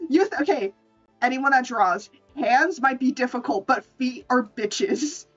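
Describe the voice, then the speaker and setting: Female VTuber artist, high voice, playful informal livestream chat, slightly dull recording over music. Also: measured pace, fairly bright tone, recorded from a distance. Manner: playful, informal, swears freely. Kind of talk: casual livestream chat showing drawings.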